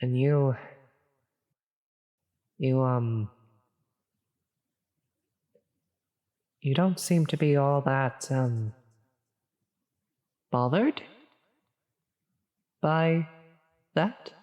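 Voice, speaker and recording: Slow, echoing demoness played by a woman. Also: extremely low pitch, lively intonation, mellow, full character voice. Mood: puzzled, thrown off. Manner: theatrical, formal, halting delivery. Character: menacing female demon, grandiose, increasingly flustered and concerned. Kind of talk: ASMR audio roleplay monologue. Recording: long cavernous reverb.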